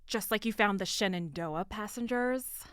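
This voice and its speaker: A woman's voice: mid-range voice, moderate pace, fairly bright tone, clear, resonant tone, no room sound, studio quality, lightly acted. A woman playing a hostile, scornful island resident who confronts officials in an audio drama, with a cutting manner.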